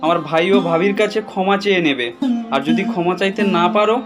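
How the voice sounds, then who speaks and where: A man plays an earnest younger brother in a cartoon, speaking high and firm. Loud music plays under him. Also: fast.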